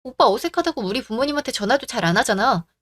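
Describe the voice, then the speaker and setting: A woman voicing a calm, firm young heroine. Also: on the high side, fast, no echo. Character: calm, firm young woman who stands her ground.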